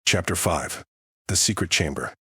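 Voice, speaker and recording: A man's voice: low-pitched, moderate pace, pushed and bright, slightly rough, no room sound, studio quality. A man narrating a fantasy audiobook from a script.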